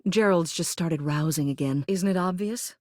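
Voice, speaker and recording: A woman's voice: fairly low pitch, normal speed, darkish tone, dry recording, clean studio sound, lightly acted. A woman playing a pompous, meddling neighborhood mayor in a video game, genteel and theatrical in her manner. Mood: bossy, brisk. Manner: theatrical, pompous, genteel, addressing people as darling and dearest. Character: haughty, meddling neighborhood mayor, a living household object, grande dame. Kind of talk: video game character dialogue.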